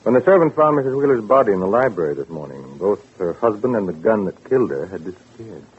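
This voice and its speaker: Probing, businesslike police lieutenant in vintage radio drama; low voice, tinny narrow-band sound. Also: male voice, very animated, fast talker, breathy edge, heavily acted. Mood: probing, official. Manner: terse, probing, official. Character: dry, businesslike male homicide police lieutenant. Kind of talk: 1940s radio drama acting.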